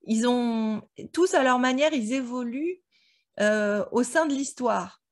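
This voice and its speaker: Woman, higher-pitched voice, casual chat, informal podcast co-host. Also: slow. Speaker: book club podcast co-host. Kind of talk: casual book discussion chat.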